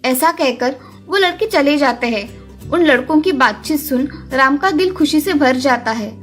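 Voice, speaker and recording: A woman's voice: high voice, lively intonation, unhurried. A woman reading a scripted children's story as its narrator. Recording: music under the voice.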